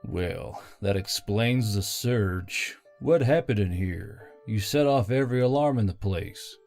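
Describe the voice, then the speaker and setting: An actor playing a calm, analytical robot man with a metal skull head in a scripted story. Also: lowish voice, unhurried, creaky, soft background music. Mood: calm, puzzled. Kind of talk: scripted character dialogue.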